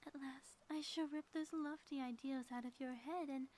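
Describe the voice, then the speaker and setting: Woman acting a high-voiced, grandiose, gloating villainess. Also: steady tone, normal speed, a little mellow, slight background noise, natural voice. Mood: smug, gloating. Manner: grandiose, florid, melodramatic phrasing. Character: theatrical gloating ex-villain woman, secretly affectionate spouse. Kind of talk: scripted ASMR audio roleplay.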